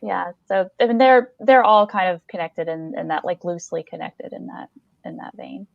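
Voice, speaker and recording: A woman's voice: on the low side, normal speed, vocal fry, no room sound, lo-fi sound. A woman co-hosting a podcast in casual chat, speaking in an effusive, encouraging way. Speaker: podcast co-host. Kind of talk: casual interview chat with plugs.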